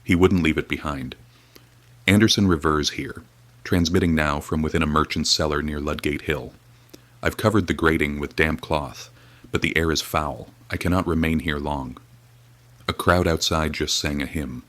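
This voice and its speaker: An actor playing a weary, grim radio reporter, speaking in a solemn, measured, grave way. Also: male voice, a bass voice, steady tone, fairly bright tone, dry recording. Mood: urgent. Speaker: field reporter. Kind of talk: dramatic period radio reporting.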